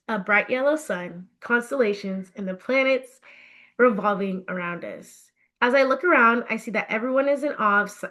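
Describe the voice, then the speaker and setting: A woman reading her own book aloud as an expressive, animated storyteller, with emphatic phrasing. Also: mid-range voice. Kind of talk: expressive scripted storybook narration.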